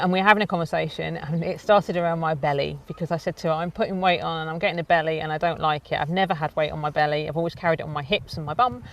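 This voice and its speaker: Gravelly woman in her fifties, candid. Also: sits low. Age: 50s. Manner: candid, reflective storytelling. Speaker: interviewee. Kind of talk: personal testimony. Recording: slightly distant mic with faint background noise.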